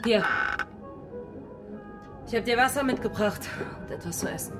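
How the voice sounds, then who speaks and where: A woman's voice: on the high side, moderate pace, natural voice. A woman playing a bold, proud female pirate in a radio drama, self-assured and teasing in manner. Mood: relaxed, friendly. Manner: defiant, probing, self-assured. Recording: music behind the voice. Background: music.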